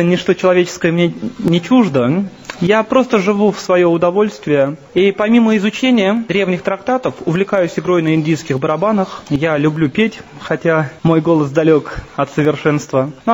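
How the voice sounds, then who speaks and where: A man in his thirties giving a recorded spiritual lecture from a temple, in an earnest, measured and personal way. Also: sits high, a little mellow. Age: 30s. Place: seated in a temple. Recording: dull lo-fi recording with faint ambience.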